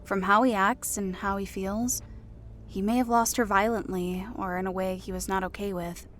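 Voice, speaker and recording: A woman's voice: medium pitch, little pitch movement, moderate pace, faint music behind, close to an everyday voice. A woman voicing an older female elf who helps run an inn, shrewd, protective and knowing, in a fantasy audiobook. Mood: pensive, knowing. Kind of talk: audiobook character acting.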